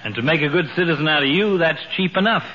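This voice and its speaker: A man's voice: a little high, animated, fast talker, pushed and bright, slightly rough, exaggerated voice. An actor playing a wry doctor who needles his pal with mock solemnity in a 1940s radio comedy. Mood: teasing. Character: wry, teasing doctor, the husband's old pal. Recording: dull old radio recording, faint noise.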